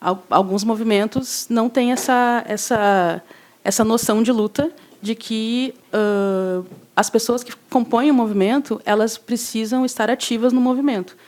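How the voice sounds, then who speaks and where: A woman's voice: a little high, moderate pace, a little mellow. A woman speaking as an interview guest, a housing movement coordinator, explaining in a casual, hesitant way with frequent fillers.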